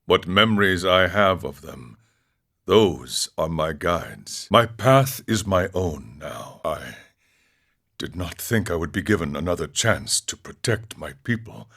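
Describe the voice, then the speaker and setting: An actor playing a serene, eccentric ascetic monk in a video game, speaking in a measured, philosophical way with formal phrasing. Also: male voice, deep voice, a bit bright, slightly rough, exaggerated voice. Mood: solemn, resolved. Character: serene, eccentric ascetic drug-taking monk who relishes suffering. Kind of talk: scripted game character dialogue.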